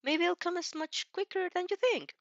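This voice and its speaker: A woman's voice: very high-pitched, moderate pace, no room sound, dull audio, voice pushed up. A woman voicing a nervous, helpful teenage boy who stammers eagerly and reassures in an audio fan fiction reading. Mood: worried, helpful.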